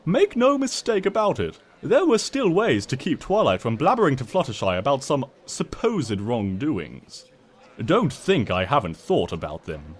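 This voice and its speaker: A man's voice: mid-range voice, wildly swooping pitch, moderate pace, husky. A man voicing a smug, mock-innocent trickster creature who narrates a comic storybook tale in a pompous, wry, theatrical way. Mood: grudging.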